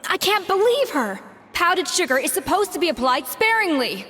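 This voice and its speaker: Proud, exacting high-voiced head chef. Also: female voice, normal speed, a bit bright. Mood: outraged. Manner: haughty, commanding, precise. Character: proud, exacting young female head chef, stern and theatrical. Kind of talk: video game voice acting. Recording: long reverb with music behind.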